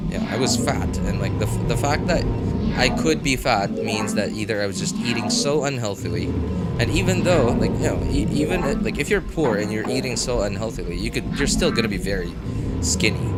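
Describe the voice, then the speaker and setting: A man chatting casually as a game streamer on a livestream, rambling and often searching for words. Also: on the low side. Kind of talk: casual livestream chat while gaming. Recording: game audio behind voice. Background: game music and sound.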